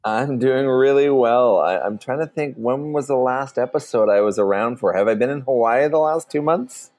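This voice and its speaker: A man's voice: mid-range voice, moderate pace, a little mellow. A man co-hosting a podcast in a casual, chatty style. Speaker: podcast co-host.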